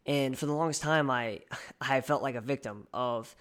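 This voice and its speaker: A young man with a somewhat nasal voice hosts a solo podcast, speaking at a mid pitch. He talks in an earnest, chatty way and often trails off into ums before starting over. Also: normal speed, a bit bright. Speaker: podcast host and online fitness coach. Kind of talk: casual solo podcast monologue.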